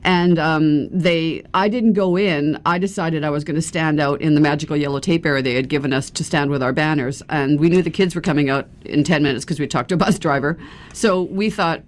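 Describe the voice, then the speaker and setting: A woman's voice: low voice, brisk. A woman guest on a radio interview, chatty and laughing often as she tells her stories. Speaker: activist guest. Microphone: radio studio microphone.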